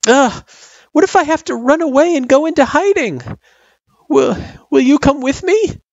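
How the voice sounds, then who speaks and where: A man's voice: very high voice, animated, moderate pace, vocal fry, darkish tone, no room sound, highs cut off, pitched above the performer's own voice, exaggerated voice. A man voicing an anxious, pouty young girl with a hesitant, plaintive delivery in a story reading. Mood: anxious, grumbling. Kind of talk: character voice in story narration.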